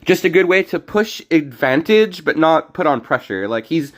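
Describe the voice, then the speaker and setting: Slightly hoarse young male streamer, higher pitch. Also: sing-song, moderate pace. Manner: rambling, self-correcting. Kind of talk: casual gameplay commentary.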